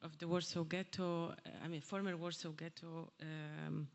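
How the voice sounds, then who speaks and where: A woman asking a question at a public event, speaking haltingly with many fillers and restarts. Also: deep voice, level tone. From Poland. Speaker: audience member asking a question. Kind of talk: hesitant public remarks. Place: theatre hall at a public event.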